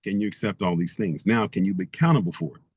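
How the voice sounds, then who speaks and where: A man's voice: deep voice, quick pace, darkish tone, breathy edge, no echo, studio quality. A man guest author in an interview, speaking emphatically and stacking rhetorical questions. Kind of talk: interview conversation.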